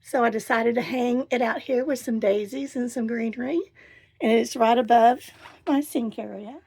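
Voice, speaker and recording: Hoarse lady chatting away inside her little van, raspy and a bit high. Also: mellow. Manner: chatty and enthusiastic, pausing while showing things. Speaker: vlogger. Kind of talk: casual home tour vlog. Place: inside a small camper van.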